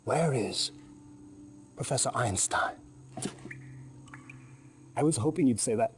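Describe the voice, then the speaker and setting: A sinister whispering interrogator. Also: male voice, mid-range voice, lively intonation, takes it slowly, a little hiss or hum. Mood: menacing. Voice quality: soft-spoken, whispery, sinister. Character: menacing male interrogator, sneering and cruel. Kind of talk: game cutscene acting.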